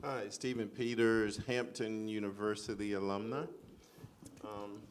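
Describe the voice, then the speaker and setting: Fairly low-voiced man, playful and assured panel guest. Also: flat delivery, measured pace, slight background noise. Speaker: panelist, executive coach. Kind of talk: panel introduction.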